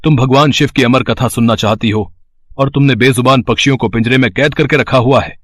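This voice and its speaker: A pious wandering priest from a cartoon fable, played by a man, fatherly and solemn. His mid-to-low voice is formal and measured, recorded dry and close. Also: steady tone, fairly bright tone, dry recording, natural voice. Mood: stern. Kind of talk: Hindi moral-story cartoon voice acting.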